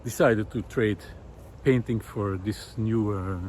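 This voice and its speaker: A man's voice: lowish voice, fairly even intonation, darkish tone. Musing middle-aged man, noisy outdoor recording. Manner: musing, hesitant, with frequent pauses. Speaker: visual artist and vlog host. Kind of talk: reflective monologue while walking. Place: outdoors, walking in a park. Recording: outdoor ambient noise.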